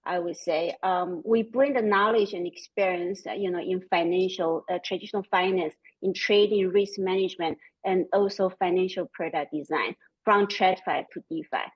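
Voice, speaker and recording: A woman in her forties with a German-Chinese accent, speaking as a panelist in a measured way with frequent fillers. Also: lowish voice, slightly rough, muffled recording. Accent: German and Chinese mixed. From Austria. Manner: measured, with frequent "um" and "you know". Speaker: panelist, company CEO. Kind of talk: panel discussion.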